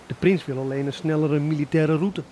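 Guy acting a scheming greedy sailor, voice a bit high, radio play, slight noise behind. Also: fairly even intonation, brisk, mellow, close to an everyday voice. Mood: cool, greedy. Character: calculating seafaring man, greedy for profit from war.

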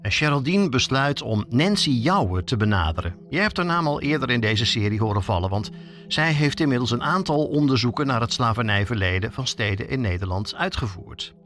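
A man's voice: mid-range voice, lively intonation, fairly quick, a bit bright, soft background music. A man with a Netherlands Dutch accent hosts a podcast, reading scripted narration as a reporter.

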